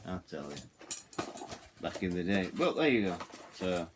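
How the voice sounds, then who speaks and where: Deep-voiced Yorkshire man, casual and rambling. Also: sing-song, a little slow, slight background noise. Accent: Yorkshire English. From Yorkshire, England. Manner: rambling, digressive, repeats himself. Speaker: hobbyist radio reviewer. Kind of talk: casual hands-on product walkthrough.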